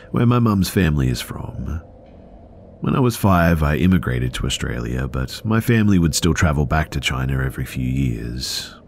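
A man with an extremely low, rumbling voice reads a listener's scary story in the first person. His delivery is steady and measured, unhurried as the story unfolds. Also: slight background noise. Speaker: narrator reading a listener's story.

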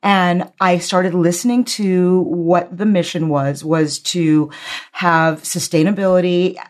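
Middle aged woman guest, lower voice, rambly candid stories. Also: fairly even intonation, slow. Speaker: nonprofit CEO guest. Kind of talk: casual interview chat.

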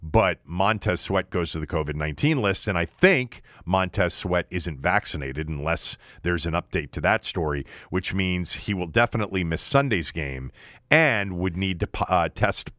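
A man hosting a sports podcast, giving news and analysis in a halting, self-correcting manner. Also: low voice, fairly bright tone, dry recording, studio quality.

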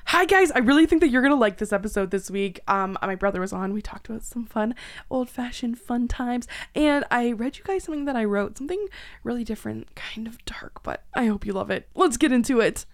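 A woman hosting a podcast in a casual, animated, self-deprecating way with salty language. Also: fairly high pitch, a little fast. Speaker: podcast host. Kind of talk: casual chatty podcast banter.

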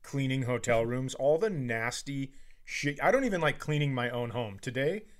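A man's voice: mid-range voice, expressive, moderate pace. A man co-hosting a comedy podcast, telling everyday stories with profane, exasperated ranting. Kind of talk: casual comedic storytelling.

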